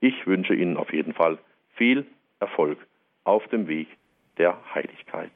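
An older male pastor, heard over a tinny phone line. His voice sits low, and he explains things in a friendly, patient way. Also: animated, a little slow. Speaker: pastor and guest expert. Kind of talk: radio interview by phone.

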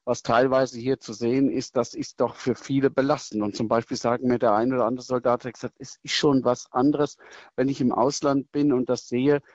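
A middle-aged man, a military chaplain, answering interview questions in a thoughtful, measured way with hesitations and restarts. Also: medium pitch, little pitch movement, darkish tone, no room sound, highs cut off.

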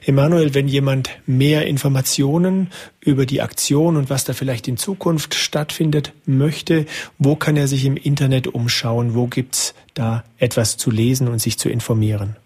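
Husky male radio host. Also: medium pitch, steady tone, moderate pace, darkish tone. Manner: conversational, leading questions. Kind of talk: radio interview.

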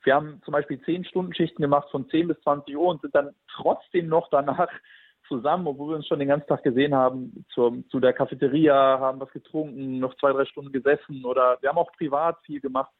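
A man in his twenties shares his personal testimony in an earnest, thoughtful way, pausing with small fillers as he searches for words. His voice is a little husky, and the close, dry sound has a tinny, phone-like narrowness. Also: on the high side, steady tone, dry recording. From Germany. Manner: earnest and reflective, with frequent hesitations.

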